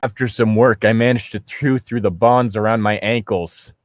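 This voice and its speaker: A man's voice: fairly low pitch, fairly even intonation, normal speed, no echo, clean studio sound. A man casually riffing as he reads a story aloud, with a sarcastic, blunt, sweary manner. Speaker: co-host of a comedic fan fiction reading show.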